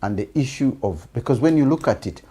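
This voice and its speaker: A man with a Ugandan accent hosting a political interview, speaking conversationally and haltingly, repeating words as he makes his point. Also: mid-range voice, lively intonation, warm tone, husky. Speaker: TV programme host.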